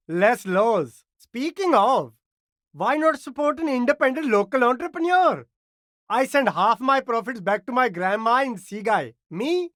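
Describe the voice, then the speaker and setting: An actor voicing a cheerful, slick and eager immigrant street vendor in a video game, with flattering, laid-back sales patter. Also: medium pitch, no echo, clean studio sound. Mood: laid-back, boastful. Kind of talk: video game character dialogue.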